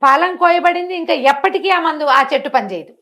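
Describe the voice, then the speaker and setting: A middle-aged woman telling a folk tale with animated, theatrical delivery. Her voice sits somewhat high for a woman, and she switches tone as she voices each character. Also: fairly quick. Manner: expressive, acts out the characters' lines with shifting voice. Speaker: storyteller guest. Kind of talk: animated storytelling in an interview.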